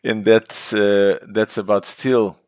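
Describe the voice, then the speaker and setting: A man's voice: on the low side, flat delivery, takes it slowly, darkish tone, no echo. A man co-hosting a casual podcast chat, talking hesitantly with many fillers and frequent laughter. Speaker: podcast co-host. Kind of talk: casual chat about motor racing.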